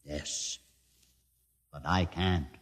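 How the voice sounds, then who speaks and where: An actor playing a grave outcast mutant leader in a 1950s radio drama, speaking in a solemn, measured way. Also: male voice, rumbling low, fairly even intonation, heavily acted. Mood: grave, guarded. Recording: old radio recording, long hall reverb.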